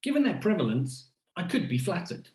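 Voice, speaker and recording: Man in a roomy space, deliberate rhetorical delivery. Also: high-pitched, very animated, a little mellow. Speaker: academic keynote speaker. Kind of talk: scripted first-person lecture narration in the persona of a shark.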